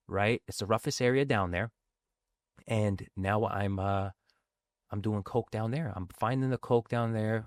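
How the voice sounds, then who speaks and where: A man's voice: lowish voice, no echo, clean studio sound. A man from a Sicilian family, Sicilian his first language, talking earnestly about recovery in a casual conversation and often tagging phrases with "right?". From grew up in a Sicilian family, bilingual. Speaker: guest speaking on addiction recovery.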